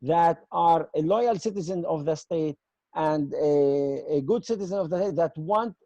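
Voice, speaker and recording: A man gives an earnest, emphatic webinar talk in halting English. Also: on the high side, dark tone, dry recording, highs cut off. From Israel. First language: not English; speaks Arabic, Aramaic and Hebrew. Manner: earnest, emphatic, often addressing listeners as "my friends"; halting, non-native English with repeated word starts. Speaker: guest speaker, community association chairman and army veteran. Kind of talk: webinar presentation, advocacy talk.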